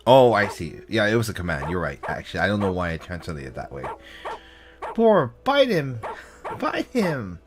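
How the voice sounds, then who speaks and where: A man's voice: sits low, expressive, quick pace, hardly any pauses, over music. A man casually commenting on a game as he plays it, his voice still recovering with a slight cough. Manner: casual, reads game text aloud with filler and asides. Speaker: let's play host.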